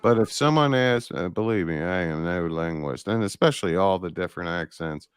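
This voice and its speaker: A man's voice: deep voice, lively intonation, a little fast, clean tone, no room sound, studio quality. A man from Maryland and Pennsylvania with a mild Southern accent, chatting casually and backing others up with frequent murmurs. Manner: affirming, many murmured mm-hmms, jokey. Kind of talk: casual group chat over the internet.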